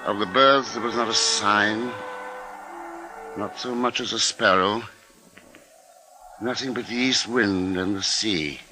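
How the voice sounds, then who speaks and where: An actor playing a shaken but dogged husband and father, narrating gravely and deliberately in a 1950s radio drama. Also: fairly low pitch, steady tone, low-quality recording. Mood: somber, slow. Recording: old 1950s radio broadcast recording. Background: dramatic music.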